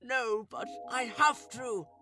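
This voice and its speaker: A woman's voice: on the high side, expressive, slow. A woman voicing a loyal, naive male skeleton who sounds earnest and pleading, in a comic dub. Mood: worried, resolved. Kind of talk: animated comic dub voice acting. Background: music.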